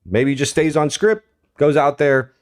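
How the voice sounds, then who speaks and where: A man's voice: fairly high pitch, normal speed. Informal, rambling American man giving commentary. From United States. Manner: informal, rambling, repeatedly checking in with listeners. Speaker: host of a political commentary show. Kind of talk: unscripted opinion commentary to viewers.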